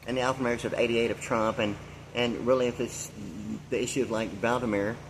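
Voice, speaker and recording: A man's voice: medium pitch, fairly even intonation, fast, a little hiss or hum, low-quality recording. A man in his sixties delivering a meandering, free-associating monologue full of fillers and loose asides. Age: 60s. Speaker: vlogger. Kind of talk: rambling monologue.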